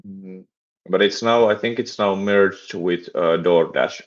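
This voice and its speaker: A young man from Finland with a Finnish accent, chatting on a live stream and talking haltingly with many restarts and fillers. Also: sits low, lo-fi sound. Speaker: livestreaming startup developer. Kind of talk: casual live stream chat.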